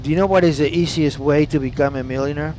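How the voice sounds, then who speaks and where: A man's voice: a little high, steady tone, fast, a little mellow, a little hiss or hum. A man with an Italian accent, a native Italian speaker, chatting bluntly and with lots of swearing while live-streaming a flight simulator session. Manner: blunt, profane, reads chat aloud. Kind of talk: casual live-stream chat. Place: home flight simulator room.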